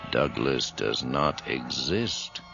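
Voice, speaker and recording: A man's voice: rumbling low, lively intonation, slow pace, creaky, music in the background, full character voice. An actor playing a stern middle-aged doctor in a radio horror drama, insistent and authoritative with his patient. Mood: probing, firm. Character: stern, commanding doctor treating a possessed patient. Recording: muffled, noisy old radio recording.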